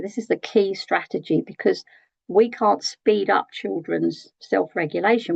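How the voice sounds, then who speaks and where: A British woman with a croaky, gravelly voice leads an early years training session. Her delivery is chatty, and she often checks in with tag questions and pauses on "um". The sound is dry and a little dull. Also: mid-range voice, a little mellow, no room sound. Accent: British English. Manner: conversational, asks tag questions, frequent ums. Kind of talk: training webinar talk.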